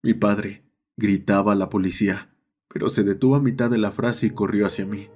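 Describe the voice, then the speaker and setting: A man's voice: lowish voice, steady tone, fairly quick, darkish tone. A man reading a scripted horror story as its narrator.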